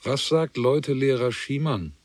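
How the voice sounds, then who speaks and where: Pensive, slow male radio drama narrator actor. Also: medium pitch, a little mellow, dry recording, close to an everyday voice. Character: pensive male narrator weighing a dead man's story.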